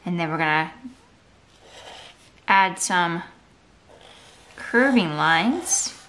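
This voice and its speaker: A woman's voice: on the low side, lively intonation, drawn-out words, fairly bright tone, slight background noise. A woman art teacher giving a step-by-step drawing tutorial for children, in simple, encouraging instructional phrases.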